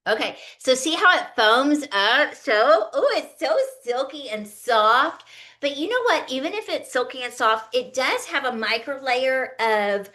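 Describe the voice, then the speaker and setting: Woman, early fifties, slightly high voice, chatty, folksy, casual product demo. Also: expressive, measured pace, pushed and bright. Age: early 50s. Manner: chatty, folksy, often checking in with listeners. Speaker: skincare consultant hosting a live online demonstration.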